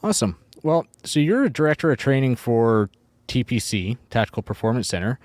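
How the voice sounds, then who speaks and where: A man hosting a podcast, asking a guest relaxed, friendly questions in a casual interview. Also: medium pitch, fairly quick, dry recording, studio quality. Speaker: podcast host.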